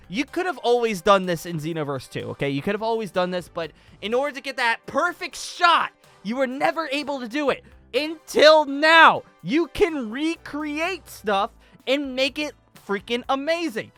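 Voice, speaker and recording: High-pitched, excitable young man. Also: moderate pace, no echo, soft background music. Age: young adult. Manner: excitable, emphatic, repeats himself. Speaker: video creator giving a tutorial. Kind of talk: casual enthusiastic tutorial commentary.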